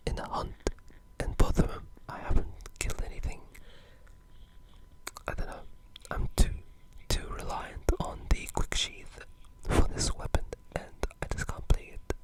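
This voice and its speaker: A person speaking in a breathy, unvoiced whisper, casually discussing games in an ASMR style with a hushed, halting delivery. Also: rough recording. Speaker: ASMR gaming video creator.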